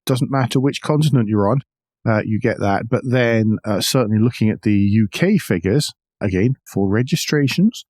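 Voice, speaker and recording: A man hosting a podcast, explaining the news in a measured way with wry asides. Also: medium pitch, a little mellow, no room sound, clean studio sound. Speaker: podcast host. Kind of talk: news discussion with commentary.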